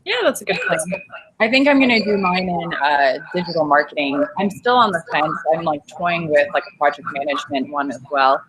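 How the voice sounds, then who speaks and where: A woman's voice: deep voice, animated, fairly quick, crowd noise, poor audio. A woman raised in Kenya, now an MBA student, chatting in a friendly, easygoing way over a video call. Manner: friendly, laughs easily, chatty. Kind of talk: casual video-call chat. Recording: video call with clear background noise.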